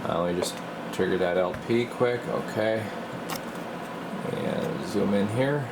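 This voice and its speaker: Deep voiced guy doing an informal repair walkthrough, kinda rambly, noisy workshop hum behind him. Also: measured pace. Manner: informal, rambling, hands-on explaining. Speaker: radio repair technician and video host. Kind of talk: casual how-to demonstration.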